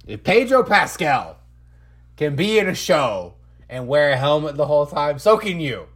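A man hosting a casual pop-culture podcast, talking in an excitable, emphatic, slangy way. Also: fairly high pitch, moderate pace. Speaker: podcast host. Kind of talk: casual chat about movies and games.

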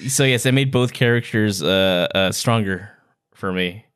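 A man's voice: fairly low pitch, unhurried, a bit bright, clean tone. A man co-hosting a podcast, discussing books in an informal, playful way. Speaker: book podcast co-host. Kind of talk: casual book discussion.

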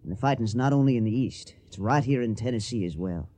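A man's voice: medium pitch, animated, fast talker, mellow, natural voice. A man playing an earnest, dutiful young farmer with a Southern accent in a radio drama. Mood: resolute.

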